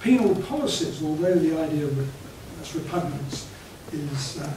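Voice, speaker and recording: A man on an academic panel speaking in a measured, scholarly way. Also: on the high side, expressive, dark tone. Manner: measured, scholarly, clears his throat. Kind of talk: academic round-table discussion. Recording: echoey hall, distant mic, background noise.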